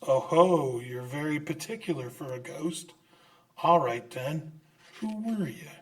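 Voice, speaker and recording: A man's voice: on the high side, animated. A man playing a crotchety, stubborn old miser who stammers and exclaims in an old-time radio drama. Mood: scornful disbelief. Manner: halting, exclamatory, stammering in fright.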